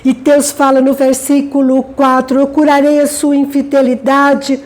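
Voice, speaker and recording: A woman's voice: high-pitched, monotone, unhurried. An older Brazilian woman sharing a church devotional in a conversational way, often adding tag questions. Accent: Brazilian Portuguese. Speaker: church teacher. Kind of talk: devotional talk.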